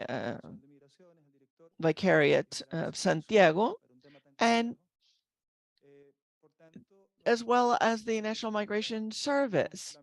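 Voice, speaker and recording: Woman interpreter with a gravelly edge. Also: medium pitch, dry recording. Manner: steady delivery with brief restarts and self-corrections. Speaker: simultaneous interpreter. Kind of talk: live interpretation of a panel speech.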